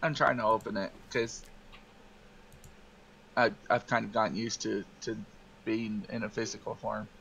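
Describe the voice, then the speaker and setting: A man chatting casually as a player in a tabletop role-playing game, speaking in a hesitant, halting way. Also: fairly low pitch, little pitch movement, fairly bright tone, poor audio. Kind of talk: casual chat during a game. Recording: clear background noise, room ambience.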